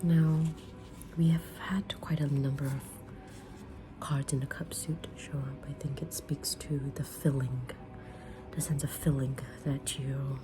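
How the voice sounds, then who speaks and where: A woman whispering softly in an ASMR roleplay as a kind, mysterious witch reading tarot, with a gentle, soothing delivery. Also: rumbling low, flat delivery, music in the background, poor audio. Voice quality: breathy unvoiced whisper. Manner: gentle, soothing, unhurried fortune-teller delivery. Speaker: ASMR creator playing a tarot reader.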